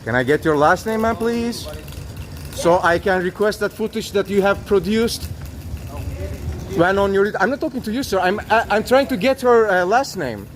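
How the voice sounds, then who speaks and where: High-voiced man pressing questions on the street. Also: animated, moderate pace, slight background noise. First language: not English. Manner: persistent, repeats demands politely but insistently. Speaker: citizen auditor filming police. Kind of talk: confrontational street recording. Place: outdoors on a busy city street.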